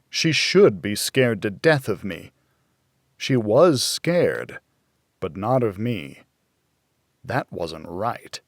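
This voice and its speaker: A man narrating a thriller audiobook in the first person, with a brooding hardboiled delivery and dramatic pauses. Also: mid-range voice, no echo, clean studio sound.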